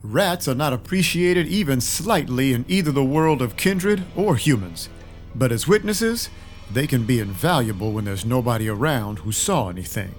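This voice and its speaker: A man's voice: medium pitch, lively intonation, normal speed, lightly acted. Man playing a wry detective over prominent music. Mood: dry, focused. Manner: dry, matter-of-fact, clipped. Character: wry, hard-boiled male vampire detective. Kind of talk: audio drama acting. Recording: music under the voice.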